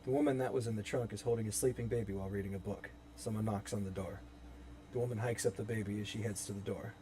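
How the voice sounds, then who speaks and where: A man's voice: lowish voice, fairly even intonation, fast, dark tone, slight background noise. A man reading stage directions as the narrator of a horror script in a live table read.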